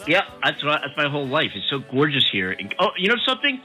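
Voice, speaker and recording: A man's voice: a little high, wildly swooping pitch, fast, sharp tone, breathy edge, soft background music, rough recording. A man hosting an unscripted comedy talk radio show from a studio, joking and laughing a lot.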